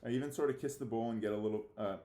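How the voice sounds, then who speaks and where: Casting: man voicing anxious, defiant young boy narrator of a children's storybook, confessional first-person delivery, medium pitch, roomy echo. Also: fairly even intonation, racing, warm tone, close to an everyday voice. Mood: defiant, pleased. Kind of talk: read-aloud of a children's novel.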